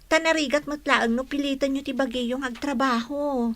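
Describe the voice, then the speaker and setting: An actress playing a dutiful, caring young daughter in an Ilocano radio drama, earnest and insistent. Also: female voice, high-pitched, steady tone, moderate pace, a bit bright.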